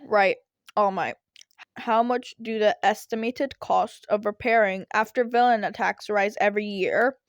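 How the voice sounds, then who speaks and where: A woman's voice: mid-range voice, fairly even intonation, measured pace, no echo, clean studio sound, close to an everyday voice. Woman voicing a weary, sardonic male teacher. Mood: dismissive, irritated. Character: tired, irritated, dismissive male teacher.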